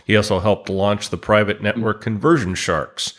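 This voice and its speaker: A man hosting a podcast, reading industry news from a script and introducing his guest in a steady read-aloud manner. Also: fairly low pitch, moderate pace. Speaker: podcast host.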